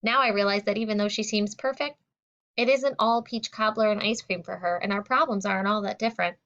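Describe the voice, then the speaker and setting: A woman's voice: medium pitch, fairly even intonation, brisk, a bit bright. A woman reading a first-person novel aloud as an audiobook narrator, in a reflective storytelling manner. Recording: dull lo-fi sound.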